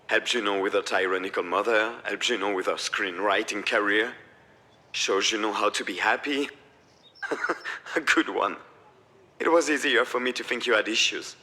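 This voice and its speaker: A man's voice: lowish voice, moderate pace, bright, forceful tone. An actor playing a grieving, self-blaming father in a narrative video game, weary and wounded in his delivery. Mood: anguished, bitter. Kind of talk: acted visual novel dialogue.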